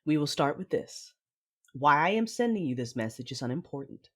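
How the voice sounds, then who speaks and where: A woman playing a cold, calculating Sith lady with a measured, guarded, imperious manner in an audio drama. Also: low-pitched, big pitch swings. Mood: commanding. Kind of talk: audio drama acting with first-person narration.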